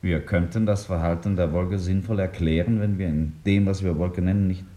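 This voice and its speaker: An actor playing a bold, provocative scientist in a 1950s radio drama, speaking in a deliberate, didactic and emphatic way. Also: male voice, sits low, steady tone, mellow, close to an everyday voice. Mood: grave, emphatic. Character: bold, provocative male scientist arguing a startling theory.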